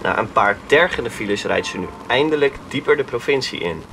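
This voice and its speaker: A man with a Netherlandic Dutch accent playing a suspenseful narrator in a scripted horror audio play, telling it deliberately. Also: mid-range voice, animated, bright, forceful tone, a little hiss or hum, low-quality recording.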